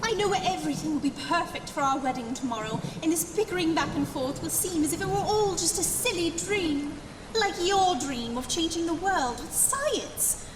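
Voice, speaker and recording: A woman's voice: very high voice, lively intonation, moderate pace. A woman playing a sweet, melodramatic young bride-to-be in a comedic gothic horror audio drama, gushing as she speaks. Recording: echoey hall, distant, very noisy.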